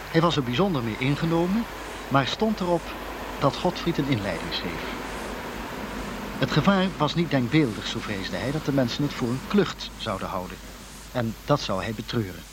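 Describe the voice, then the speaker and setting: A man's voice: mid-range voice, a little hiss or hum, poor audio. A man reading a scripted literary introduction as narrator, with wry, formal phrasing.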